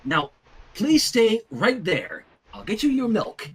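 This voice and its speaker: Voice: mid-range voice, wildly swooping pitch, slow, husky, full character voice. A performer voicing a panicky, exasperated young pony mare who exclaims and repeats words in alarm, in an amateur cartoon fanfiction reading. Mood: scolding.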